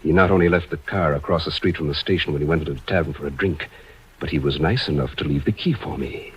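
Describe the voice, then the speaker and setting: An actor playing a cold, commanding murderer who makes smooth threats in a 1950s radio thriller. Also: male voice, rumbling low, quick pace, a little hiss or hum. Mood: cold menace. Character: cold, menacing male murderer holding a woman at gunpoint. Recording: dull, muffled old radio recording.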